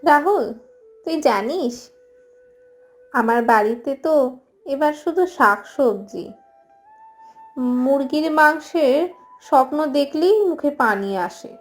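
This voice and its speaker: A village female of a cartoon fairy tale, voiced by a woman, speaking in a high voice. The delivery is slow and drawn out, with faint music behind. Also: expressive. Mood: wistful. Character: village mother and young village girl in a tale. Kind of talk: animated moral story dialogue.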